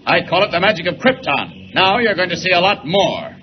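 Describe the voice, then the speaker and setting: A self-assured superhero in a 1940s radio adventure. He is brisk, tough and commanding, in a fairly high male voice. The sound is dull and clearly noisy. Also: big pitch swings.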